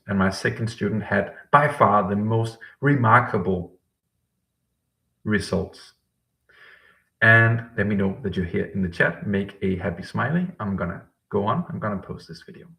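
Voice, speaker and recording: A man's voice: fairly low pitch, creaky, fairly bright tone. A man hosting a live-stream question session as a language coach, rambling with frequent ums and self-corrections. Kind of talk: live-stream talk to chat viewers.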